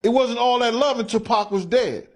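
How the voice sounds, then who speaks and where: A man's voice: very high-pitched, normal speed. A man talking in blunt, emphatic street slang, repeating himself and throwing out rhetorical questions.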